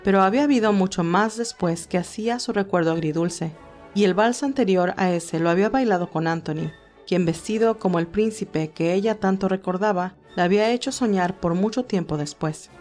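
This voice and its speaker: A woman's voice: medium pitch, a little fast, a little mellow. A woman reading scripted story narration in Spanish, telling a tale. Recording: music behind the voice. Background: classical music.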